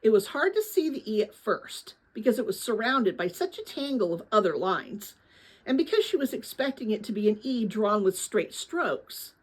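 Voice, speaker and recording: A woman reading a children's novel aloud as dramatic, expressive story narration. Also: medium pitch, normal speed, breathy edge, low-quality recording. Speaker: audiobook-style reader of a children's novel.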